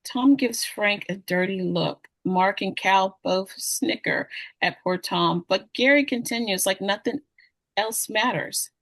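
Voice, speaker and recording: A woman's voice: mid-range voice, takes it slowly, creaky, a bit bright, dry recording. A woman reading stage directions as the narrator of a screenplay table read.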